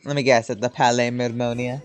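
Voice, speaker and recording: A woman's voice: very deep voice, little pitch movement, brisk, slight background noise. A woman giving casual, off-the-cuff commentary while playing a video game. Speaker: gamer reacting while playing.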